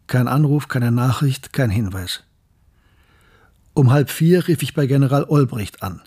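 Grave scientist narrating wartime memories, dark husky voice, restrained radio drama read. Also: male voice, medium pitch, expressive, a little slow. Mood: sombre, tense.

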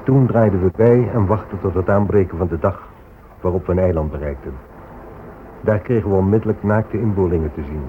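A man's voice: deep voice, a little fast, natural voice. Guy doing pompous pious sea explorer, radio play, formal and stately, thin phone-like audio w faint hiss. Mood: matter-of-fact. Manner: stately, declamatory. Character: proud pious explorer admiral reporting to royalty. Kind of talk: radio drama, formal logbook narration. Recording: phone-like old radio recording, faint noise.

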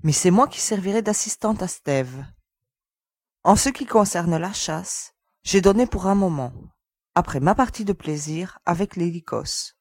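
Actress doing careful protective young spaceship woman, lowish voice, dry sound, firm and measured, scifi audio play. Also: lively intonation, darkish tone, no room sound. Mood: resigned, wry. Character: cautious, protective young female spaceship crew member. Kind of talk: scripted French audio sci-fi drama.

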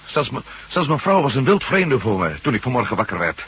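Bewildered, stammering husband, bright forceful voice. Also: male voice, mid-range voice, sing-song, brisk, slightly rough, heavily acted. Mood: wary, confused. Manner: hesitant, stammering, bemused. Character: bewildered amnesiac husband and truck driver, gruffly polite. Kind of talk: Dutch radio drama acting. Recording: faintly hissy old radio recording.

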